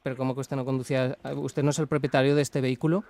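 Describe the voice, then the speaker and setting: A man's voice: medium pitch, flat delivery, very fast, a little mellow, dry recording, lightly acted. A man playing a polite, apologetic traffic official on a prank call, with an accent from Spain and a hesitant, formal manner. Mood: matter-of-fact. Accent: Spanish from Spain. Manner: hesitant, courteous, official-sounding. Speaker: radio prank caller. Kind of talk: improvised prank phone call in a formal register.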